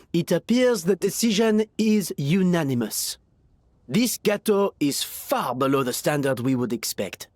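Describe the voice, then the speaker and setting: A woman playing a discerning contest judge in a video game, speaking with formal, deliberate authority. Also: fairly low pitch, expressive, darkish tone, no echo. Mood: formal verdict. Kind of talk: video game dialogue acting. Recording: studio dialogue, faint game music.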